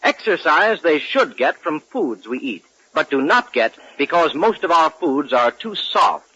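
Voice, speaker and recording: A man's voice: on the high side, big pitch swings, unhurried, sharp tone, husky, no echo. A man reading a scripted 1940s radio advertisement as a commercial announcer. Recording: muffled vintage 1940s radio recording.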